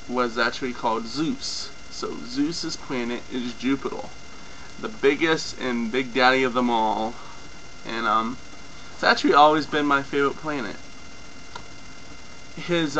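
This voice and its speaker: A young man casually explaining a topic off the cuff, with a lot of ums and self-corrections, his voice a little hoarse from a cold. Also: medium pitch, bright, forceful tone, rough recording. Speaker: mythology video host. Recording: faint mains hum.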